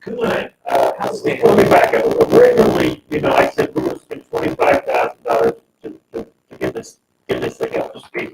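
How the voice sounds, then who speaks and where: A man speaking informally at a board meeting, halting and repeating phrases as he explains. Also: a bass voice, wildly swooping pitch, vocal fry. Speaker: parks board member. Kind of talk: informal public meeting discussion. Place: indoor town board meeting room. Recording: echoey, noisy meeting room, distant mic.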